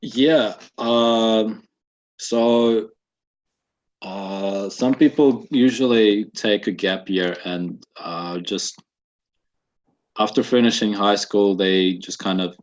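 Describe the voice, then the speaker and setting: A man in his twenties from Russia, with a Russian accent softened by New Zealand, answering interview questions in a halting way with many fillers, on dull lo-fi webinar audio. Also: fairly low pitch. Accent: Russian with a slight New Zealand accent. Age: 20s. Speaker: guest.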